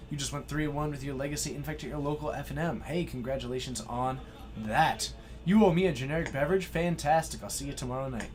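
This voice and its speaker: An excitable man hosting a live stream, giving play-by-play card game commentary and effusively thanking his viewers. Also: medium pitch, fast, faint music behind. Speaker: stream host and match commentator.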